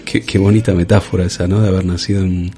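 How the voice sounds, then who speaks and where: A man hosting a comedy interview in a studio, polite and deadpan, often stammering on restarts. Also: low-pitched, quick pace, breathy edge, soft background music. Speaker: host.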